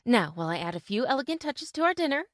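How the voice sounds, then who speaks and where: A woman plays a young mother in old-time radio drama. She is gentle yet practical, and her voice sits a little high. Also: big pitch swings, very fast, no echo, clean studio sound. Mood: tender.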